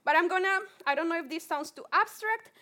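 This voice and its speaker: Colombian woman psychologist, high and bright voice, earnest talk, restarts words. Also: fairly quick. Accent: Colombian Spanish. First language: Spanish. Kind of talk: public talk to a live audience.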